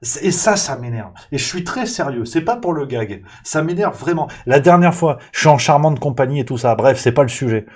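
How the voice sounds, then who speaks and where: Male show host, mid-pitched, comic rant delivery, mock-angry and animated. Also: a little fast, hardly any pauses, slightly rough. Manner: parodies waiters with exaggerated Italian accent.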